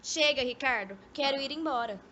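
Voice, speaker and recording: Young woman acting a high-voiced petulant Brazilian lady. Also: moderate pace, bright, forceful tone. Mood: impatient. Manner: petulant, disdainful. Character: haughty, bored, reluctant young woman, mocking her old lover. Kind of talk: amateur radio drama acting. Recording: dull, noisy amateur recording.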